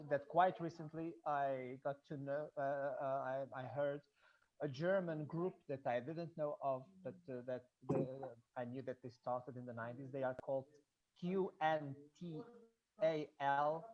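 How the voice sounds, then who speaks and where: Portuguese accent, native portuguese, kinda halting and repeating words, informal online chat, muffled lofi call. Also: mid-range voice. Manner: hesitant, halting with repetitions. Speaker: audience member, student researching Blake and music. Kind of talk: informal remarks in an online discussion.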